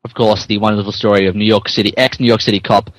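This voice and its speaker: A man's voice: lowish voice, level tone, fast, dry recording. A man hosting a podcast and narrating a story, joking and mock-earnest, shifting between casual chat and dramatic reading.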